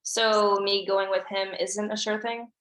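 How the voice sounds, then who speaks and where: A woman's voice: mid-range voice, level tone, a little slow, a bit bright, natural voice. A woman at a script table read playing a guarded, pessimistic teenage girl who speaks in a subdued way. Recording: roomy echo.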